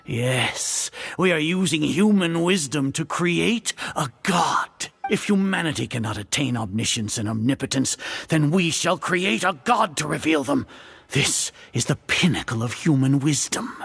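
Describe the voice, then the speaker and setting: An actor playing a haughty, older scholarly leader in a video game, lecturing with grandiose contempt. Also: male voice, fairly high pitch, lively intonation, a little slow, gravelly, fairly bright tone, slightly rough, exaggerated voice. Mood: condescending. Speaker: grand sage of an academy.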